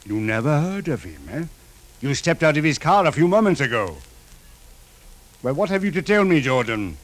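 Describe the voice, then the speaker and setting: An actor playing a stern, shrewd police official in a 1950s radio drama, speaking formally and precisely. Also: male voice, on the high side, big pitch swings, moderate pace. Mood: stern, probing.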